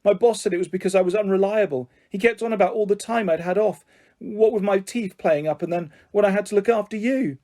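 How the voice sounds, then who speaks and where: A man's voice: high-pitched, brisk, mellow, voice pushed up. A man reading the part of a middle-aged, old-fashioned mother with an earnest, wavering way of talking. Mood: tearful.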